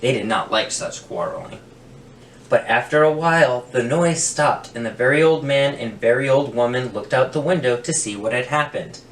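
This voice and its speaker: A man's voice: medium pitch, moderate pace, fairly bright tone. Roomy children's story reader, a man. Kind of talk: expressive children's picture-book read-aloud. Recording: roomy, distant mic, faint noise.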